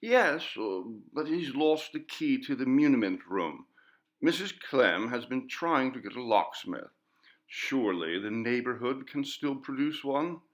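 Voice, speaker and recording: A man voicing a curious, theatrical gentleman visitor in an audiobook ghost story. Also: medium pitch, expressive, unhurried, voice pushed up, close to an everyday voice. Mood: intrigued. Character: Strammer, curious, brisk gentleman visitor probing a haunted house. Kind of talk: audiobook character dialogue.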